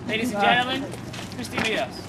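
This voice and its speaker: Loud guy introducing speakers outside, really high voice, heavy traffic noise. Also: brisk, recorded from a distance. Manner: loud and projecting. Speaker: rally emcee. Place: outdoors at a street rally. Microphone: no working microphone.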